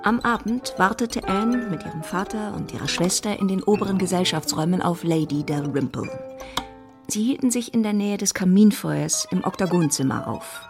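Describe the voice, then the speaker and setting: A woman acting as the narrator of a period radio drama, a composed storyteller with a measured, literary delivery. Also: mid-range voice, over music, close to an everyday voice.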